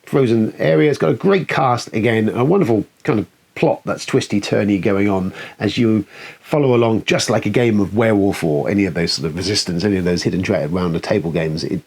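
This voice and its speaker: A man's voice: lowish voice, a little fast, darkish tone, husky. A man hosting a casual, chatty film countdown in a rambling, sweary, enthusiastic way. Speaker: host of a board game film review show.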